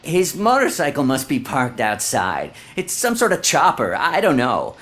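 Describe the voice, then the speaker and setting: An actor playing a surly, mocking tattoo artist in a video game, with a sarcastic, defiant manner. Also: male voice, medium pitch, wildly swooping pitch, measured pace, fairly bright tone, soft background music. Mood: grudging. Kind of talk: video game dialogue acting.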